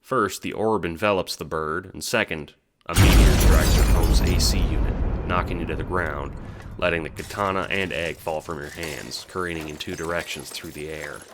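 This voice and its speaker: A man's voice: low voice, steady tone, moderate pace, heavy background noise. A man reading scripted second-person narration for an audio drama.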